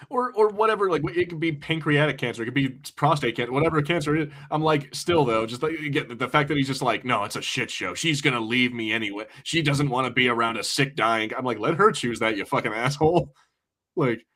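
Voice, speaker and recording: Fast-talking man bantering on a podcast. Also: mid-range voice, sharp tone. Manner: blunt, sweary, rambling. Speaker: podcast co-host. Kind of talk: casual film banter.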